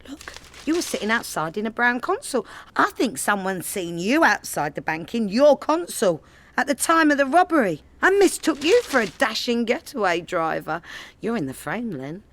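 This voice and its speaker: An actress playing a sarcastic, nagging wife with a London accent in a comedy radio play. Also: female voice, a little high, sing-song, moderate pace, a bit bright, slight background noise. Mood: mocking.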